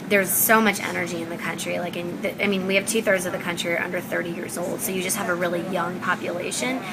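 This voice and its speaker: A woman's voice: lowish voice, noisy background. A woman from Pakistan with an American accent, a startup founder answering interview questions in an eager way, full of ums and likes. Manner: fast, enthusiastic, with many ums and likes.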